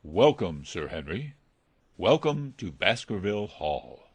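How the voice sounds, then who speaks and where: Man acting subdued butler, deep voice, formal, deferential, gothic audiobook character, dry sound. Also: big pitch swings, measured pace, husky, no room sound, full character voice. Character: formal, subdued male butler of a gloomy old mansion.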